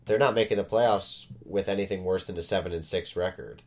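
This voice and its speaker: A man's voice: deep voice, fairly quick, darkish tone. A young man co-hosting a casual sports podcast, congested from a cold and joking around. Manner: jokey, playful. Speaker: podcast co-host. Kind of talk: casual chat.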